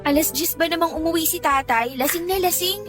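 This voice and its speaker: A woman's voice: extremely high pitch, fairly quick, fairly bright tone. An actress playing a poor, long-suffering young working woman in a Filipino radio drama, conversational, complaining and self-deprecating, with a Filipino accent. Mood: weary, complaining. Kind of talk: Tagalog radio drama acting. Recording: music bed behind the dialogue.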